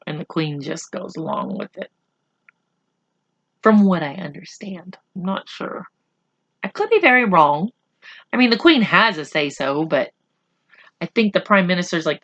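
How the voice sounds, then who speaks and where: A woman's voice: on the low side, fairly bright tone, lo-fi sound. A woman reading a children's story aloud, breaking off into informal, self-correcting explanations for young listeners. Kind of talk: read-aloud narration with chatty asides to children.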